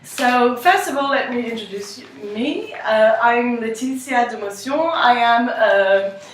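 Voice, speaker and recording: A woman leading a focus group in a slightly high voice, stopping and restarting often. She is picked up from across a very echoey room, with faint hiss behind her. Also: lively intonation. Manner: halting, frequent restarts and fillers. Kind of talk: role-played focus group introduction. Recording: echoey room, far from mic.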